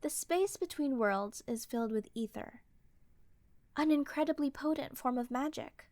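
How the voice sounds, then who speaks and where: A woman's voice: high-pitched, normal speed, darkish tone, dry recording, lightly acted. A woman playing an earnest, easily scandalized queen with a formal, ceremonious manner in a fantasy audio roleplay. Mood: explaining. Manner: ceremonious, proper, reverent.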